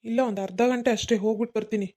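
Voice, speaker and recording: A woman's voice: a little high, steady tone, dark tone. A woman voicing an evasive young man who brushes off his mother, in a cartoon. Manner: hurried, brushing off questions.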